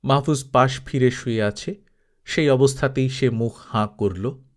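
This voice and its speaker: A man's voice: mid-range voice, unhurried. A man reading literary fiction as an audiobook narrator, in a scripted storytelling style.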